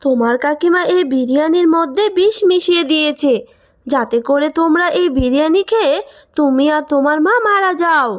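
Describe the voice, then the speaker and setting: Woman voicing a kindly high-pitched talking mango tree. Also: a little fast, natural voice. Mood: earnest warning. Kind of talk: animated moral tale acting.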